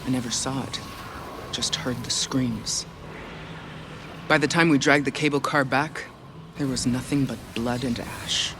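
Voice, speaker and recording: An actor playing a wry, war-weary army captain in a video game cutscene. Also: male voice, medium pitch, fairly even intonation, measured pace, long pauses, background noise, close to an everyday voice. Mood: grim recounting.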